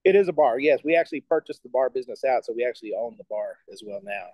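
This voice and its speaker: A man's voice: mid-range voice, animated, fast, no room sound, lo-fi sound. A middle-aged man chatting casually as an interview guest on a video call, explaining his restaurant business. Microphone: video call, device at about arm's length.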